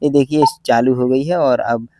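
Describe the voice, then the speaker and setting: A man's voice: medium pitch, fairly even intonation, moderate pace, noisy background. A man giving a plain, step-by-step explainer to viewers as a vlog host. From India. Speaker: host, railway pointsman vlogger.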